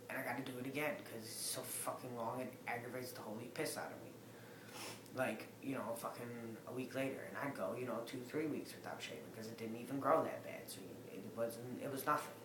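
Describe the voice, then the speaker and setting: A young man with a raspy voice, rambling to a webcam in a casual update, with lots of ums. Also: medium pitch, roomy sound, noisy background. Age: young adult. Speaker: vlogger. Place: living room with a Christmas tree. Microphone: webcam at desk distance.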